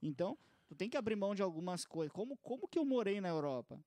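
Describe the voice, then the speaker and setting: A man's voice: high voice, big pitch swings, fast talker, mellow, no echo. A man hosting a podcast, musing in casual chat with frequent fillers. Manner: conversational, musing, frequent "cara" and "né".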